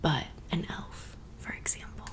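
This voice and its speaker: A woman playing a friendly teenage girl in an ASMR roleplay, whispering softly in a chatty, welcoming way. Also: unhurried, lightly acted. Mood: explaining.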